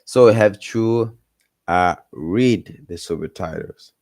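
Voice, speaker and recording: Man, 30s, low-ish voice, halting learner's English, encouraging vlog tone. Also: darkish tone, no room sound, studio quality. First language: Portuguese. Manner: hesitant, many fillers and self-corrections, encouraging. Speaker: vlogger sharing language tips. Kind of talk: casual unscripted talk to camera.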